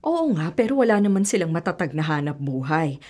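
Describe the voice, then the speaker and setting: A woman's voice: low voice, big pitch swings, a little fast, dark tone. A woman acting as a hardworking, self-sacrificing middle-aged widowed mother in a Filipino radio drama, earnest and long-suffering. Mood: resolute, weary.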